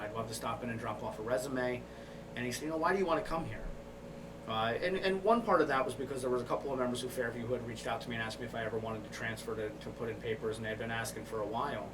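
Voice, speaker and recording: A man with a mid-pitched voice tells a personal story as a podcast guest. His delivery is candid, reflective and casual. He sounds a bit away from the microphone, with noticeable noise around him. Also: recorded from a distance. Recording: off-mic with clear background noise.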